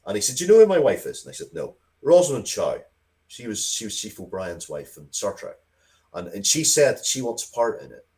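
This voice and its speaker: A man chatting casually as an interview guest, telling rambling, excitable anecdotes with repeated phrases and the odd swear word. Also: on the low side, a little fast. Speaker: interview guest, writer of comics and audio drama.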